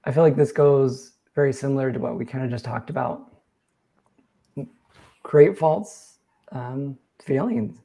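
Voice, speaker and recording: A man hosting a live-stream chat, his voice worn and fading as he loses it. His tone is dark and mellow, and he talks through questions in an earnest, coaching manner. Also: mid-range voice, unhurried, gravelly, long pauses. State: losing his voice. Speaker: host reading viewer questions.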